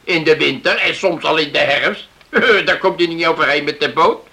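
Radio play role: sceptical village man, gravelly and forceful, halting, mulls over every phrase. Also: a little high, heavily acted. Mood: wary, pondering. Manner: halting, repeats himself. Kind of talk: radio drama acting.

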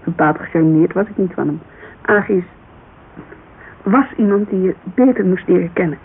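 An actress plays a candid, slightly wistful Dutch woman in her thirties for a radio play. She talks colloquially and hesitantly, with a creaky edge to her voice. The recording is thin and narrow, with noticeable hiss. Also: fairly low pitch, moderate pace, natural voice. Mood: reflective, candid. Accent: Dutch, Netherlands. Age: 30s. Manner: hesitant, colloquial, searching for words. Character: thirty-three-year-old Amsterdam model agency owner, guarded, wistful, candid. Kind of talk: naturalistic radio drama dialogue.

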